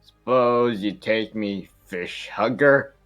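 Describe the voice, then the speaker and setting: A man plays a gruff old sea captain from a cartoon, obsessed with his hunt. The voice sits very low and pushes out each claim with blustering emphasis. Faint music runs underneath. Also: slow, a bit bright. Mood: defiant. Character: gruff, obsessive old boat captain hunting a lake monster.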